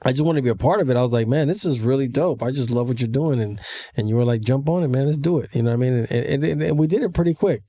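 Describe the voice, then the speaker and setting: A mellow, warm-toned male host with an easygoing, agreeable way of talking. He asks relaxed questions and keeps nodding along with "yeah, yeah" in a casual interview. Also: medium pitch, fast talker. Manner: easygoing, lots of agreeing backchannels. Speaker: radio show host.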